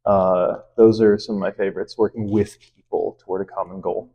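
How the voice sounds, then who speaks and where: A man who teaches math, answering interview questions in a relaxed, friendly way with many fillers. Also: lowish voice, moderate pace, slightly rough, lo-fi sound. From Lebanon.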